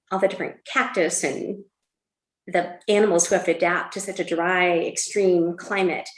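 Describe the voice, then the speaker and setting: A middle-aged woman with an American accent talks conversationally as a guest author, answering interview questions about her work. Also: medium pitch. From Illinois, United States. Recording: roomy, echoing room sound.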